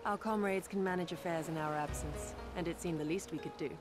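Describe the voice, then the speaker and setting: A woman's voice: lowish voice, fairly quick, music in the background, close to an everyday voice. A woman playing a composed, loyal warrior retainer in a video game, speaking formally and courteously. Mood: composed, dutiful.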